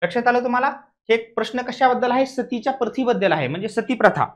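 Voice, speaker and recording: A man's voice: extremely high pitch, lively intonation, fast talker. Maharashtrian man teaching, emphatic and repetitive. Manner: repeats key points, poses questions to students and answers them. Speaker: history teacher for competitive exam coaching. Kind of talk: classroom lecture explaining exam questions.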